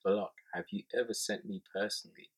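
A man's voice: on the low side, flat delivery, fast talker. A man co-hosting a podcast in casual chat, teasing and pressing his partner with questions, English not his first language. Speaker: podcast co-host.

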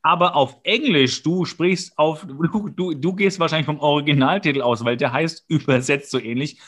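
A man co-hosting a podcast in casual, humorous banter, often mock-serious and halting as he corrects himself. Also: a little high, a bit bright. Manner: mock-serious, tongue-in-cheek. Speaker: podcast co-host.

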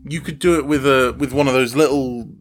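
A man being interviewed as a guest audio drama creator, chatting casually and thinking aloud in a halting way. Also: medium pitch, fairly even intonation, soft background music.

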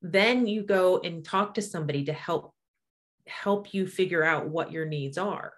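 A woman's voice: fairly low pitch, a little slow. A woman in her forties talking casually and explaining her practice as an energy healer. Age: 40s.

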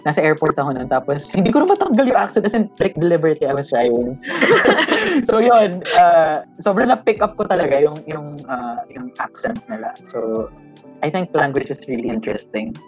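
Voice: medium pitch, very animated, brisk, dull audio, faint music behind. A podcast co-host with a Filipino accent who talks casually, laughs often and mixes Tagalog and English with lots of fillers. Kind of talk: casual chat.

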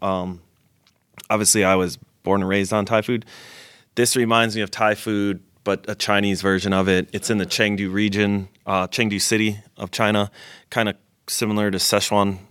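A man's voice: fairly low pitch, flat delivery, normal speed, fairly bright tone, no room sound, clean studio sound. A man who works as a chef, chatting enthusiastically on a podcast and often repeating words as he starts a sentence. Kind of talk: casual podcast chat.

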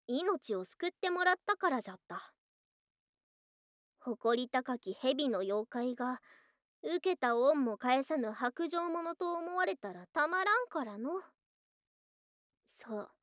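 A voice actress playing an affectionate snake-spirit wife in a Japanese ASMR voice drama, with archaic, coy, excitable speech and open devotion. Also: female voice, high voice, slow pace, long pauses, dry recording, clean studio sound, heavily acted. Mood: tender, earnest. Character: affectionate female lamia snake-spirit wife, girlish yet old-fashioned.

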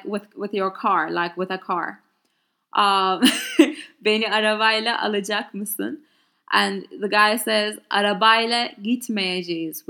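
A woman teaching a language in English, which is not her first language. She talks in a loose, chatty way, full of fillers and restarts, at a middling pitch. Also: sharp tone. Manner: casual, chatty explaining with many fillers and restarts. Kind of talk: explaining a Turkish dialogue in English.

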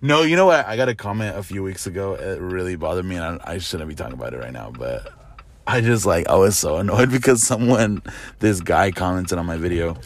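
A young man chatting casually as a content creator, halting and full of fillers, getting excitable. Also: deep voice. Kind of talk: casual podcast chat.

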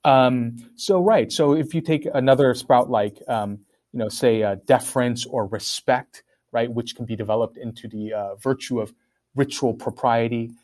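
A man who grew up in Korea and speaks Korean as his first language talks through philosophical ideas in a conversational way. He pauses often, restarts his sentences and fills the gaps with ums and likes. His voice sits in an ordinary middle register. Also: medium pitch, fairly even intonation, a little mellow. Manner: thoughtful, many fillers and restarts. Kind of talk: casual academic discussion.

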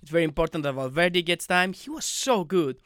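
A man's voice: on the high side, expressive, fairly quick, a bit bright, clear, resonant tone, dry recording, studio quality. A man whose first language is not English, co-hosting a casual football chat show and giving eager, opinionated takes. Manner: eager, opinionated, laughs easily.

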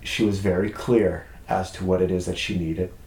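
Lower-voiced man, candid and reflective. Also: level tone, moderate pace, darkish tone, roomy sound, a little hiss or hum. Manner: open, reflective, many restarts and "right?" tags. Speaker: podcast guest. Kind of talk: candid conversational chat.

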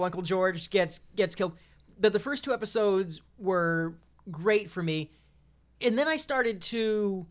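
Higher-voiced male podcast guest, casual. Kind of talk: casual chat.

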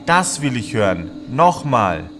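Pushy interrogator for audio drama: emphatic, demanding, slow-paced, hugely varied melody. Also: male voice, medium pitch, big pitch swings, a bit bright. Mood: insistent, demanding. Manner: emphatic, insistent, formal address. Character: insistent, domineering male questioner, demanding then exultant. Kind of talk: theatrical audio drama dialogue.

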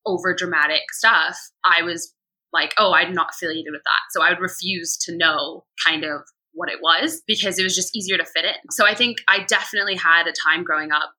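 A young woman with an American accent and a low-set, very bright voice. It has a husky grain to it. She talks candidly and conversationally, telling anecdotes with animated quoted speech. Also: a little fast, poor audio. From United States. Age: young adult. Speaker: podcast guest.

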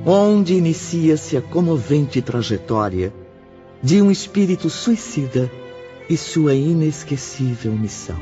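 A man narrating a radio drama as a solemn storyteller, in a deliberate, dramatic scripted style. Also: on the high side, very animated, dark tone. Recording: dull lo-fi audio over music. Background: prominent music.

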